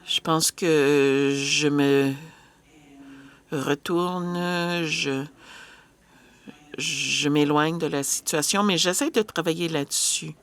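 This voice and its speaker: A woman's voice: deep voice, takes it slowly, long pauses. A woman interpreting a panel discussion live, hesitant, with frequent filler sounds and restarts.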